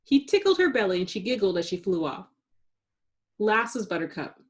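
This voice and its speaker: A woman reading a children's story aloud in an expressive narrating style, with dramatic emphasis for suspense. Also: medium pitch, moderate pace. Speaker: storybook reader.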